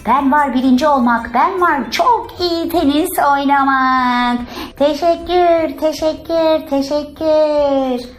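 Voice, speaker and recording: A woman's voice: sits high, slow pace. A woman voicing an impatient, whiny little girl doll in a children's toy story, speaking in childlike broken sentences. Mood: boastful. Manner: childlike broken speech with unconjugated verbs. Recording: roomy indoor echo.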